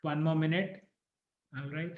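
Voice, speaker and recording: Echoey, higher-pitched man hosting online. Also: steady tone, unhurried. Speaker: contest host. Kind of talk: event announcements on a video call. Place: online video call from an echoey room. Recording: echoey, dull video-call audio.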